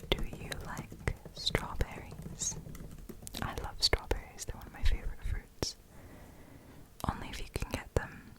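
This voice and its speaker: Casting: young female ASMR host, hushed whisper, slow relaxed delivery, thinks aloud. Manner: soft, unhurried whisper, musing aloud and laughing at her own mistakes. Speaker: ASMR creator. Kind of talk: whispered ASMR while doing language practice.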